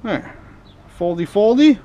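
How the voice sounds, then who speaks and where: A man's voice: sits high, animated, slow, warm tone, slight background noise. A man narrating a hands-on repair casually, muttering to himself in folksy, informal speech. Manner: talks to himself in muttered asides. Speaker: host of hobby repair and scrapping videos. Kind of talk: casual rambling workbench narration while working.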